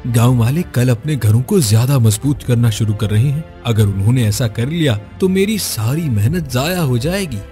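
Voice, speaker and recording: A man's voice: mid-range voice, lively intonation, normal speed, hardly any pauses, warm tone, soft background music, lightly acted. A man playing a sly, greedy villager who schemes for money in a scripted cartoon story. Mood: worried.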